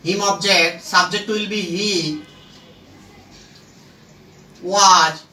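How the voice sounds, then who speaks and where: A man teaching a grammar lesson in a halting, emphatic way, phrasing word by word. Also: high-pitched, fairly even intonation, sharp tone, soft background music. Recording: echoey room, distant microphone.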